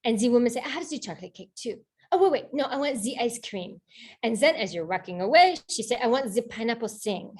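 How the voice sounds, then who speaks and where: A woman's voice: a little high, expressive, a little fast, a little mellow, husky, pitched above the performer's own voice. Woman putting on a romantic french guy, thick fake accent, broken english, sincere and coaxing, short simple phrases. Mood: earnest. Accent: mock French.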